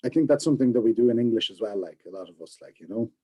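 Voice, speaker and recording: Guy moderating a panel, mellow voice, says any any any a lot. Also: mid-range voice, animated, racing, breathy edge. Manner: affirming, repeats himself.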